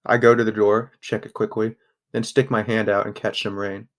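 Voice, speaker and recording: A young man playing a guarded, sardonic teenage boy who narrates a grim audio drama in a subdued, even voice. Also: fairly low pitch, moderate pace, lightly acted. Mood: somber. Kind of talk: first-person scripted narration, audio drama.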